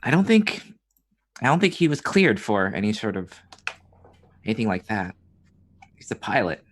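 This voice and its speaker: A man's voice: fairly low pitch, sing-song, moderate pace, a little hiss or hum. A man playing a tabletop roleplaying game, speaking casually in character.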